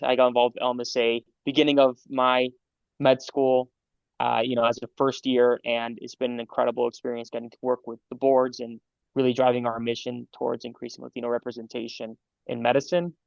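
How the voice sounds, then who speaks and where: Guest: young man, medical student, gravelly and monotone, chatty and halting, dull dry recording. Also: medium pitch, no room sound. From Los Angeles, California. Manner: friendly, halting with fillers and repeats. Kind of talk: casual interview answers.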